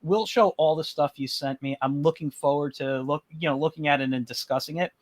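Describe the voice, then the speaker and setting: A man hosts an interview in a casual chat. He rambles in a friendly way and pokes fun at himself. His voice sits slightly high for a man. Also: fairly quick. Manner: friendly, rambling, self-deprecating. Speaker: interview host.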